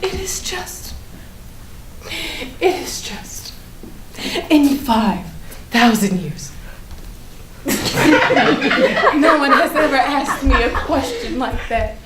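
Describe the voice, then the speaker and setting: A woman's voice: high-pitched, expressive, drawn-out words, pauses often, exaggerated voice. A woman acting a haughty, mischievous ancient enchanted bird in a staged play reading, with theatrical, grand phrasing. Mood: tearful, exasperated. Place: echoey room at a staged reading. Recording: echoey room, noticeable background noise.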